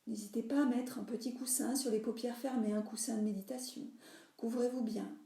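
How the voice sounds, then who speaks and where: Woman, yoga nidra teacher, guided meditation, creaky mellow voice, soothing instructions, soft breathy endings. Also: fairly high pitch, fairly even intonation, brisk. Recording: roomy, reverberant voice recording.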